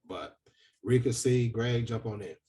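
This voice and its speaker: A man with a big booming voice, hosting a casual podcast discussion in an earnest, reflective way with frequent fillers. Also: a little slow, warm tone, slightly rough, recorded from a distance. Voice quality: deep, booming voice. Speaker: podcast host.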